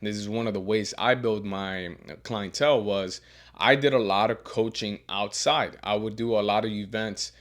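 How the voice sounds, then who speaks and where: A man who coaches strength and teaches as a professor, giving earnest career advice with a deeper voice and frequent repeated words as he restarts. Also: normal speed, a bit bright. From Miami. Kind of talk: advice monologue.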